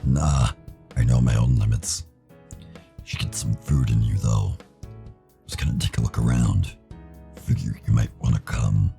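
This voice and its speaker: Gruff, cocky furry bad boy: extremely deep and dark, slow, visual novel. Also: fairly even intonation, full character voice. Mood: gruff, laid-back. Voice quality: deep, gravelly. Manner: slow, drawn-out, teasing. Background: faint music.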